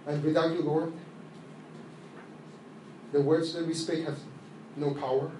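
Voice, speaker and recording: A man whose first language is Chinese, preaching a sermon in English after an opening prayer, halting and earnest with many fillers and restarts. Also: a little high, little pitch movement, mellow. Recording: echoey room with faint ambient noise.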